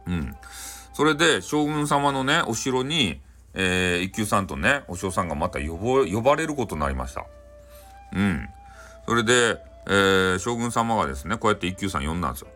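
A man with a Hakata accent narrating a bedtime folktale in a casual, chatty, animated way. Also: on the low side, brisk, faint music behind. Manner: chatty, animated, frequent sentence-ending fillers. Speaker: storyteller.

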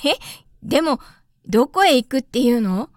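A woman's voice: high voice, big pitch swings, normal speed, no room sound, clean studio sound. A woman voicing a whimsical little boy prince in a storybook, curious, carefree and self-absorbed. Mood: curious, puzzled.

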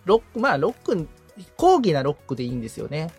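A man's voice: a little high, huge pitch swings, fast, vocal fry, darkish tone, slightly rough, soft background music. A man in the assistant's seat on a podcast, explaining his interests casually and enthusiastically, thinking aloud as he goes. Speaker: podcast assistant, guitarist being coached.

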